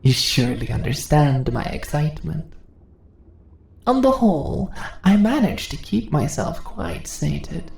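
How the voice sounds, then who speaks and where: A woman's voice: deep voice, very animated, measured pace, creaky, darkish tone, heavily acted. A woman playing a seductive, dominant spider-woman monster in a fantasy ASMR roleplay, teasing her captured prey in a purring voice that often drops into whispers. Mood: gloating. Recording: roomy echo, faint background noise.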